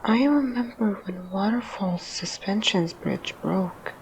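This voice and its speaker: A woman's voice: medium pitch, slow, a little hiss or hum, poor audio. A woman voicing a shy, self-doubting ghost in an audio drama, hesitant and trailing off. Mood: wistful, rambling.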